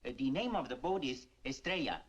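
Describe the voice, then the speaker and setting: An actor playing a Mexican waterfront local in an old film, a native Spanish speaker with a Mexican accent. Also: male voice, medium pitch, expressive, a little fast, slightly rough, lo-fi sound. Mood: businesslike. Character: animated Mexican waterfront local, wary but excitable. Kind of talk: 1950s film acting.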